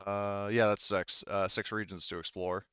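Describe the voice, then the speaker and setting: Male game master, smooth and lowish voice, informal, thinking aloud, muffled dry recording. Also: level tone, moderate pace, fairly bright tone, no echo. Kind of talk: casual tabletop game narration.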